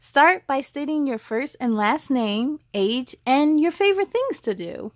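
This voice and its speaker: A woman voicing a kind but gently firm first-grade teacher in a storybook, addressing a class politely. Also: sits high, animated, measured pace, no echo, clean studio sound. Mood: welcoming.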